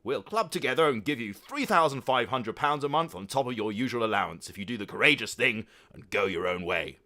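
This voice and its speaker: A man puts on a smug uncle in a comic storybook tale, bluffing his way out of promises. His voice is bright and confident, with big rises in pitch. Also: lively intonation, brisk. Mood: generous. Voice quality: evasive, blustering.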